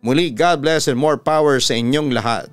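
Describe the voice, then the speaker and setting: A man's voice: mid-range voice, moderate pace, dry recording. A man with a Filipino accent, a radio announcer and host, giving a dramatic reading of a listener's letter. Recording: music behind the voice. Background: background music.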